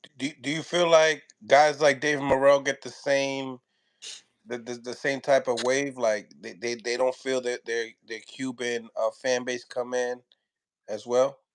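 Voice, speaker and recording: Male boxing interviewer, mid pitch, relaxed and chatty, short agreeing replies. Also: a little slow. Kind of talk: casual interview.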